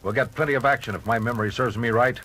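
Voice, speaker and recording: A man's voice: mid-range voice, fairly even intonation, fast, a bit bright, slightly rough. An actor playing a grave, authoritative male superhero crimefighter in a record radio drama, speaking formally and in clipped phrases. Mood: grave, businesslike. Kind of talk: radio-drama acting on a children's story record. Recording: vintage story record with dramatic music.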